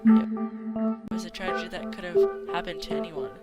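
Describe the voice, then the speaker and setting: A woman reading visual novel text aloud as an amateur video maker, halting and stumbling over names and words. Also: lowish voice. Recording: music playing behind the voice. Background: game music.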